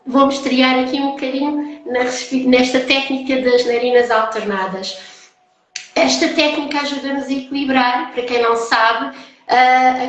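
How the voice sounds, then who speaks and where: A woman with a European Portuguese accent hosting a casual live-stream chat as a holistic therapist. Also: sits high. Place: echoey indoor room, online live call. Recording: very echoey room, live stream.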